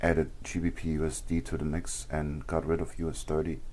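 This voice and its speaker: A man hosting a live trading session, explaining charts casually, his voice worn and sore, often clearing his throat and pausing with ums. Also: a bass voice, flat delivery, darkish tone, a little hiss or hum. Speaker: trading stream host.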